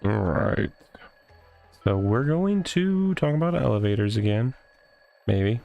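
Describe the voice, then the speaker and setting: A man's voice: fairly low pitch, animated, takes it slowly, creaky, phone-quality sound. A man giving casual, off-the-cuff commentary as he plays through a game. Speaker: gaming playthrough host. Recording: music behind the voice.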